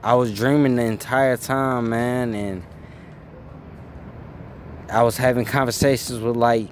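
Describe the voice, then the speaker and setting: Young man, interview guest, mid-pitched voice, easygoing casual speech. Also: steady tone, measured pace, slight background noise. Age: 20s. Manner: laid-back, drops "bro" and "man", trails off softly.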